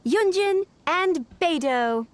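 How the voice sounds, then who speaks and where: A woman's voice: very high voice, lively intonation, slow pace, slight background noise, exaggerated voice. A woman voicing a courteous young official in an anime game, speaking politely and formally. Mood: announcing. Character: courteous young female official announcing contest winners.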